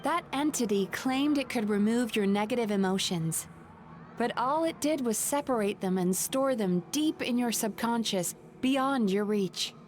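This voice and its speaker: A woman playing a composed, earnest dream therapist in a video game, measured, articulate and gently firm. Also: a little high, slight background noise, close to an everyday voice. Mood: grave, firm.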